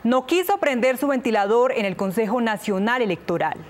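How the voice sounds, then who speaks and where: A young adult woman with a Colombian accent reading the news as an anchor in a TV studio, in a crisp formal delivery. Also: fairly high pitch, lively intonation, brisk. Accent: Colombian Spanish.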